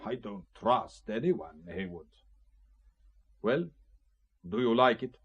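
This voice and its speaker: An actor playing a suave, menacing Italian count and shady art dealer in a spy thriller audio drama, urbane, deliberate and guarded. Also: male voice, mid-range voice, expressive, slightly rough, highs cut off, full character voice. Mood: sly.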